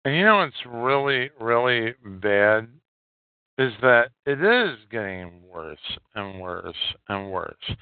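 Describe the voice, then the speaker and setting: A man hosting a solo podcast, giving opinionated commentary in halting, emphatic phrases with a lot of repetition. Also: medium pitch, very animated, clean tone, no echo. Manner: coarse, swearing. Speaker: podcast host. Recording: narrow phone-like line, dry.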